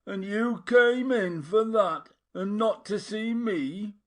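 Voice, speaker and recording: A man doing a rough, jeering half-witted village girl. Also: fairly high pitch, expressive, takes it slowly, a little mellow, voice pushed up. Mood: mocking. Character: coarse half-witted young woman, mocking and wild.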